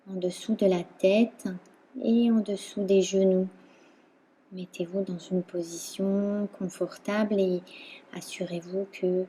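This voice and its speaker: A woman instructor guiding a relaxation meditation in a calm, soothing manner, leaving long pauses between phrases. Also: mid-range voice, slightly rough. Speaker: yoga nidra instructor.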